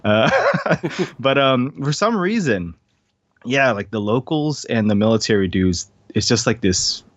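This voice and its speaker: A man's voice: medium pitch, big pitch swings, a little slow, dry recording. A man from Hawaii, an interview guest, talking in a laid-back, rambling way full of fillers. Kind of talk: casual conversational interview. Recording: dull lo-fi dry audio.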